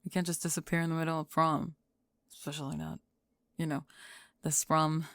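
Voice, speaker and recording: A woman playing a steady, blunt, dryly teasing teenage bully girl in an ASMR audio roleplay, calm and deadpan. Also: low-pitched, fairly quick, no room sound, clean studio sound. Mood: dry, matter-of-fact.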